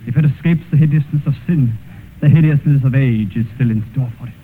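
An actor playing a vain, cynical, decadent gentleman in a theatrical, taunting radio drama style. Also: medium pitch, brisk, phone-quality sound, close to an everyday voice. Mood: brooding dread. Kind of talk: 1950s radio drama acting. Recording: scratchy old radio recording, thin band, hiss.

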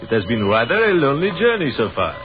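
An actor playing a cultivated French artillery captain who speaks polite, formal English with a French accent, in 1930s radio drama style. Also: male voice, mid-range voice, big pitch swings, fast talker, natural voice. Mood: courteous, genial. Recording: muffled 1930s radio recording with music.

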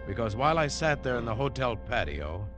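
A man's voice: mid-range voice, big pitch swings, brisk, lightly acted. An actor playing a tough male adventurer who narrates his own story in a wry, terse manner. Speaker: narrator and lead. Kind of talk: 1950s radio drama acting. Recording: old radio broadcast with music under it.

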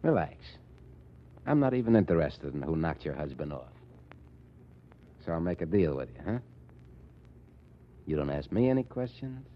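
An actor playing a cocky, folksy tough gang boss in slangy, ungrammatical talk, in 1940s radio style. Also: male voice, low voice, very animated, normal speed, pauses often, a little hiss or hum. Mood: protective, insistent. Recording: muffled old 1940s radio recording.

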